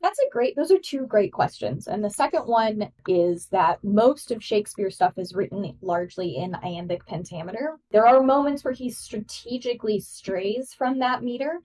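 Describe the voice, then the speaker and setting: A woman's voice: medium pitch, expressive, normal speed, hardly any pauses, a little mellow, recorded from a distance, a little hiss or hum, low-quality recording. A woman guest-hosting a podcast, explaining verse and meter with eager, nerdy enthusiasm. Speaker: guest host. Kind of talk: podcast discussion.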